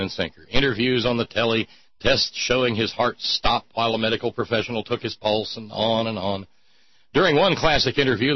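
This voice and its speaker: A man hosting a talk show with a sardonic, mocking manner, reading listener notes and poking fun at what he reads. His voice is slightly husky and sits at an ordinary pitch. The mic sounds close and dry, with a slightly dull, muffled tone. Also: no echo. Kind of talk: irreverent call-in talk show.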